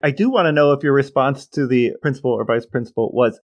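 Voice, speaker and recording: A man's voice: mid-range voice, fast talker. A man co-hosting a casual comedy podcast, asking questions in a droll, mock-serious way. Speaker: podcast co-host and interviewer.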